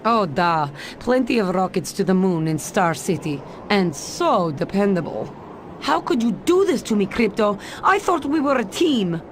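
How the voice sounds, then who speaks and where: An actress playing a capable, earnest Soviet spy in a video game, speaking with a Russian accent and a dry, businesslike manner. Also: female voice, mid-range voice, sing-song, a little slow, slight background noise. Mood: wry, pleading. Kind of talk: video game cutscene acting.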